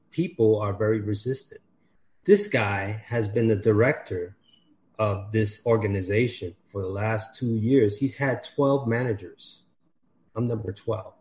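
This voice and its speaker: A man on a podcast, chatting casually and acting out the dialogue in his stories. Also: on the low side. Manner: animated storyteller, acts out dialogue. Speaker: podcast guest. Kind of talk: casual chat. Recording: dull lo-fi audio.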